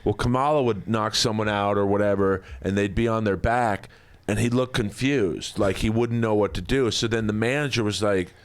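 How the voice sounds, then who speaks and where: A man telling comedic anecdotes in casual podcast banter, rambling and halting as he goes. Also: fairly low pitch, clean tone. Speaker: podcast guest telling old wrestling stories.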